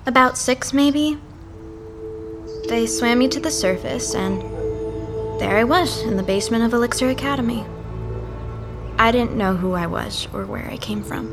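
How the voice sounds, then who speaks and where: Woman acting sarcastic flustered girl, highish voice, audio drama, music behind. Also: moderate pace, pauses often, lightly acted. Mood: reflective, uneasy.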